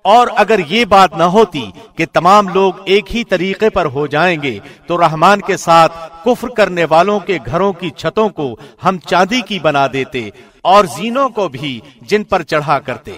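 High-voiced man reading scripture formally. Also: expressive, fairly bright tone. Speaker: translation reader, radio host.

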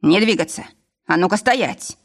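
A woman's voice: fairly low pitch, expressive, moderate pace, creaky. A woman voicing a paranoid young man in an audiobook, suspicious and hostile, with curt demands. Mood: threatening.

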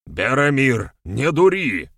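A man voicing an earnest, low-voiced clan elder. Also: normal speed, a bit bright, no echo, studio quality. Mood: alarmed, insistent.

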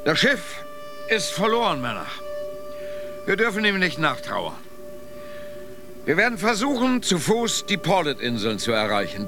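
A man's voice: high voice, pushed and bright, exaggerated voice. An actor playing a resolute expedition leader in a radio drama, addressing his men in a declamatory, commanding way.

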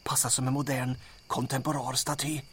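A man's voice: medium pitch, flat delivery, background noise, lightly acted. An actor playing a wry, grumbling accomplice on a night raid in an audio drama, muttering his opinions. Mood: hushed, wry.

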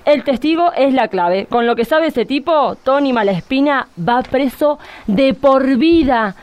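An actress playing a stern, ambitious judge in a comic radio play, commanding and exasperated, with an Argentine accent. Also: female voice, sits high, lively intonation, a little slow, lo-fi sound.

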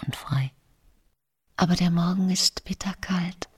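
An actress playing an unrepentant female poisoner confessing from prison in a radio drama, intimate and measured, with formal old-fashioned wording. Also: on the low side. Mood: haunted, exhausted. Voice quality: husky, breathy, often whispering. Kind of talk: German radio drama monologue.

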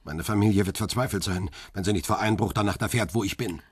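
An actor playing a defiant but controlled middle-aged photographer in a radio crime drama, speaking formally and firmly. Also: male voice, on the low side, fast talker, husky, no room sound. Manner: formal, firm, insistent. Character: defiant, controlled middle-aged male paparazzo under interrogation.

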